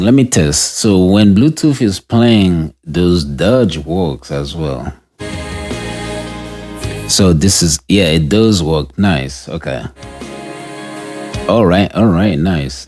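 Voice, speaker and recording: A man with a deep voice giving a casual, unscripted product review, talking through the gear as he tests it and restarting sentences as he goes. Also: a little mellow. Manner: unscripted, halting, restarts mid-sentence while testing gear.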